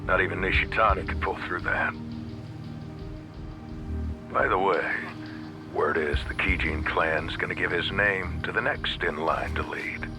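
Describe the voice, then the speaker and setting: An actor playing a sardonic, rough-spoken gangster in a video game cutscene, often half-whispering. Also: male voice, low-pitched, measured pace, pauses often. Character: sardonic gangster grieving on the phone. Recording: dialogue over game music.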